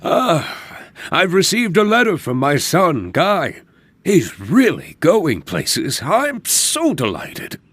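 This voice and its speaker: A kindly old winery worker. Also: male voice, fairly high pitch, huge pitch swings, husky, full character voice. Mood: delighted. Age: older. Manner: gentle, fond, unhurried. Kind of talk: video game cutscene dialogue. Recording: game audio with background music.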